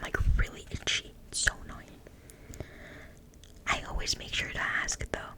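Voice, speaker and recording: Whispering woman with a French accent. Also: poor audio. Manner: soft, stumbles over words and apologizes. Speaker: ASMR creator. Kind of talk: whispered ASMR book reading.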